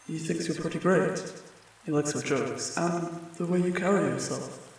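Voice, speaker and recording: A man playing a friendly, apologetic sleep paralysis demon in an ASMR comfort roleplay, speaking gently and haltingly, with reassurance. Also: fairly high pitch, natural voice. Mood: reassuring, supportive. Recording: very echoey, distant, rain sounds behind. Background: rain.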